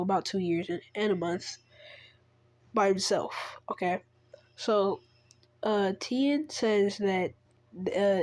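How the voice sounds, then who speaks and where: A woman who is feeling sick tells a story off the cuff. She rambles, stops and restarts often, and her voice sits at an ordinary pitch. The sound is very dry and close. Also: a little mellow, no room sound. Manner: rambling, many fillers and restarts. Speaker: fan storyteller. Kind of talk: casual spoken retelling of a made-up story.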